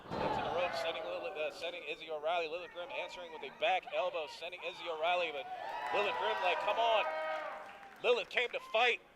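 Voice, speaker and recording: A man's voice: a little high, steady tone, fast, very noisy. An American man calling live wrestling play-by-play in an emphatic, dramatic announcer delivery. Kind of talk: live excited sports commentary. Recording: loud music behind, live event. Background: loud music at a live wrestling event.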